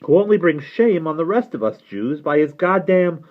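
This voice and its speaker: A man acts out a bitter, indignant young man in a pitched-up, very animated voice. He rants with sardonic emphasis, as a character in a dramatic reading. The recording is a little dull. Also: normal speed. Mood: angry. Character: young man, bitter, indignant, griping about his boss.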